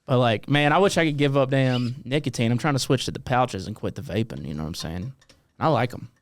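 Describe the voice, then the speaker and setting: A man's voice: mid-range voice, expressive, brisk, slight background noise. A man from Mississippi with a Southern US accent, hosting a casual chat in a laid-back, slangy, swear-heavy way. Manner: laid-back, slangy, swears a lot, calls people dude. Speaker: host.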